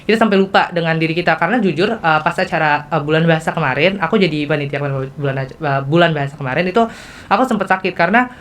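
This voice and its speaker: Student podcast host: teen girl, Jakarta accent, lowish pitch, chatty but stumbling delivery. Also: a little hiss or hum. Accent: Jakarta Indonesian. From Indonesia. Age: teens. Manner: halting, hesitant delivery with repeated self-interruptions. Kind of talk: casual conversational interview.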